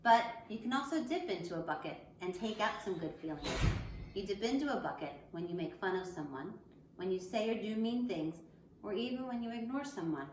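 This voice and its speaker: A woman's voice: mid-range voice, animated, fairly quick. A woman schoolteacher reading and talking to young children in simple, encouraging phrases. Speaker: elementary school teacher. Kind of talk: children's picture book read-aloud with asides to pupils. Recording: echoey room with faint background noise.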